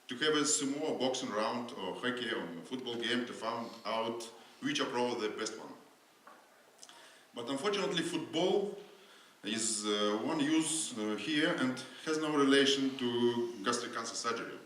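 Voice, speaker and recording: Man, non-native english, conference lecture, halting with fillers, very echoey hall. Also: medium pitch, fairly bright tone. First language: not English. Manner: halting, with frequent fillers and restarts. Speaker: surgeon giving a conference lecture. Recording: very echoey hall with faint noise.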